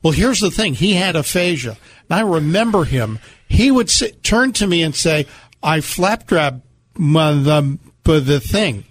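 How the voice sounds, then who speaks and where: A man with a slightly high voice works as a radio co-host. He talks conversationally and tells stories with a dry, teasing sense of humor. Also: animated, measured pace, slight background noise. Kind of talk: conversational radio talk with storytelling. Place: radio studio.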